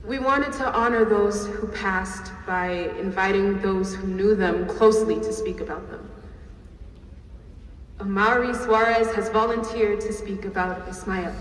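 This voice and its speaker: Actress for young woman emceeing a reunion, audio drama. Tired, frank, unhurried, in a reverberant hall. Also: medium pitch, steady tone, close to an everyday voice. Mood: solemn. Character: weary, frank alumna hosting a class reunion. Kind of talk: audio drama acting, informal event speech. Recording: echoey hall, distant mic, faint noise.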